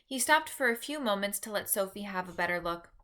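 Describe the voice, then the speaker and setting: A woman narrating a children's book aloud in an expressive storytelling style. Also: medium pitch, fairly quick. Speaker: storybook reader.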